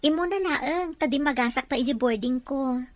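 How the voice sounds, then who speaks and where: A woman's voice: high voice, moderate pace, no room sound, close to an everyday voice. A woman with an Ilocano Filipino accent playing a polite, naive young employee from the province in an audio drama. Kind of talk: Ilocano radio-style drama acting.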